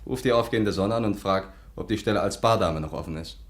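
An actor playing a brisk, placating boyfriend in a 1950s radio drama. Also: male voice, on the low side, close to an everyday voice. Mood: puzzled. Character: brisk, evasive boyfriend, easygoing smooth talker.

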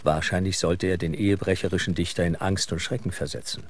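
A man with a husky voice delivering scripted narration as the narrator of a radio drama. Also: sits low, steady tone, a little fast, slight background noise.

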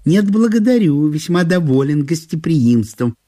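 A self-important provincial gentleman played by a man speaks with ceremonious, unctuous courtesy. The voice rises high and swoops politely, in the manner of a radio play. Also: big pitch swings, normal speed, a little hiss or hum. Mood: ceremoniously polite. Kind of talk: theatrical radio monologue.

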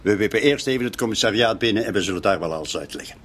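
An actor playing a dogged, sarcastic police inspector in a radio drama, husky and blustering. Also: male voice, fairly low pitch, expressive, very fast, a bit bright, faint music behind. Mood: sardonic pressure. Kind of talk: radio drama acting in dialogue.

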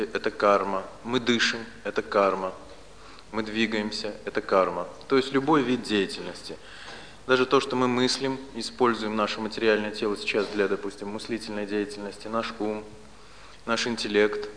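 A man in his late thirties giving a spiritual philosophy lecture, speaking deliberately in short, didactic phrases with pauses and repetition. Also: on the low side. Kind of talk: lecture on Vedic philosophy to a live audience. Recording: reverberant hall, loud steady background noise.

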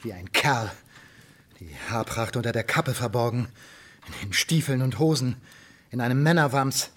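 An actor playing a stern, sardonic middle-aged father in a German radio drama, with a husky voice. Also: mid-range voice, a bit bright, close to an everyday voice. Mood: reproachful, scornful. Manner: stern, sarcastic, deliberate.

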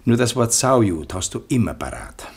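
An indignant, principled man in a radio play. He is pointed and sardonic, with a husky voice and lively pitch. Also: normal speed. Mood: biting, indignant. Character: indignant, principled challenger with biting irony. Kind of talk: German radio play acting.

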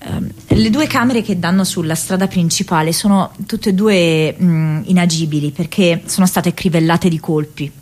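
A woman playing an earnest young activist in a radio play, speaking a letter home to her mother haltingly, searching for words. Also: on the low side, steady tone, a little mellow, lightly acted. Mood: earnest recounting. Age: young adult. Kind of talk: dramatic monologue in radio theatre, reading a diary letter.

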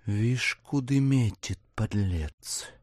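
An actor playing the musing, mocking inner voice of a wry gentleman in an audio drama. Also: lowish voice, extremely slow, no echo, clean studio sound. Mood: scornful. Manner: musing, sardonic. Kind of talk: theatrical interior monologue in audio drama.

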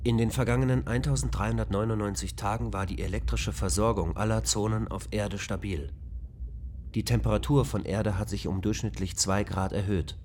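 A man voicing a calm, flat ship computer. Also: on the low side, a little fast, a little hiss or hum, lightly acted. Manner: flat, precise, machine-like reporting. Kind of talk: radio play acting.

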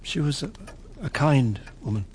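An actor in a radio drama playing a sympathetic, quietly frustrated English husband with a mild, wistful manner. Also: male voice, medium pitch, animated, moderate pace, a little hiss or hum, natural voice. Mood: weary, sympathetic. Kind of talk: BBC radio drama acting.

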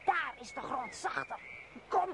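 A woman's voice: high voice, expressive, measured pace. An actress playing a shrewd, commanding female wolf in a radio play, speaking tersely and emphatically. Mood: decisive. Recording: noisy radio play with outdoor ambience.

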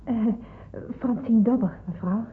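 An actress playing a polite, timid young woman hiding in wartime, hesitant and soft-spoken, in a radio drama. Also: fairly high pitch, measured pace, breathy edge. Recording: muffled, phone-like old radio drama recording.

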